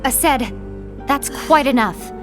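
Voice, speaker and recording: An actress playing a composed, diplomatic young woman in a fantasy game, speaking formally and with measured politeness. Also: high-pitched, music in the background. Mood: firm. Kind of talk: scripted game cinematic acting.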